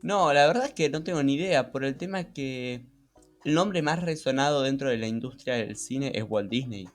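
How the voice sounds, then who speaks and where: A man's voice: medium pitch, normal speed. A man with a Rioplatense Spanish accent speaking casually as a podcast co-host. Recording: music behind. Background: background music.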